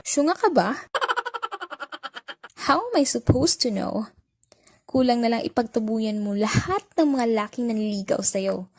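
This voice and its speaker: A woman's voice: fairly high pitch, animated, a little slow, long pauses, faint music behind. A young woman with a Filipino accent playing a sassy, exasperated student in an amateur radio drama, slipping between casual talk and English phrases. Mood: impatient curiosity. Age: young adult. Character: sassy, exasperated young female student, a blunt best friend.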